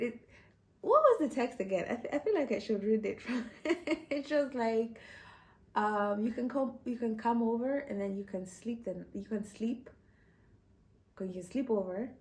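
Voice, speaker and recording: A young woman talks straight to camera in a loose, chatty way, leaning on "you know" and laughing between her words. Her pitch sits in the middle of the range and carries an easy conversational rhythm. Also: a little mellow. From Kenya. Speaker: vlogger. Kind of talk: casual storytelling to camera.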